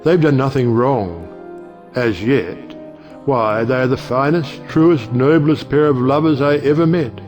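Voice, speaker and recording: Guy playing a witty judge uncle in an audio play, speechifying, mid-pitched, music behind. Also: a little slow, darkish tone, lo-fi sound, lightly acted. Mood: wry, teasing. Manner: rhetorical, oratorical. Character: wry, eloquent, provocative uncle and judge.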